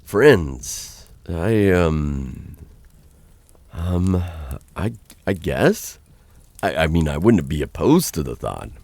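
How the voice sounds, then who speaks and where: A man's voice: a bass voice, big pitch swings, very slow, creaky, pauses often, a little mellow, no echo, full character voice. A man playing a vampire captor who is dangerous yet awkwardly kind, talking in a hesitant, conversational way in an ASMR roleplay. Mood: awkward, candid.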